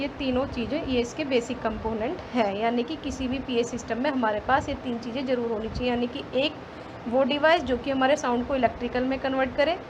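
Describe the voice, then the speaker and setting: An Indian woman speaks in a high-pitched voice, teaching in an explanatory way. She goes over each point step by step and restates it. The recording has clear noise behind her. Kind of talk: explanatory slide lesson, reading definitions aloud and explaining them. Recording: clearly noisy background throughout.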